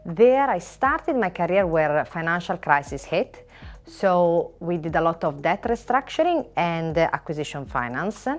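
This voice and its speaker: Low-voiced Italian woman lawyer. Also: very animated, soft background music. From Italy. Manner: measured, listing items with frequent "uh" pauses. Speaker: finance lawyer. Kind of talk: panel interview answer.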